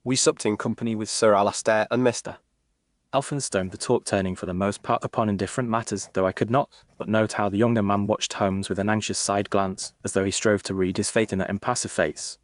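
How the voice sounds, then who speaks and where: A man reading a scripted first-person literary narration in a measured, formal way. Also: lowish voice, fairly even intonation, no echo, studio quality. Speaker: audiobook narrator.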